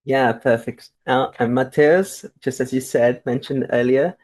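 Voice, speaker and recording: A man's voice: mid-range voice, steady tone, measured pace, darkish tone. A young man from Brazil with a Brazilian Portuguese accent, answering interview questions in a conversational way with frequent restarts and fillers, speaking from home on a remote call. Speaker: guest researcher.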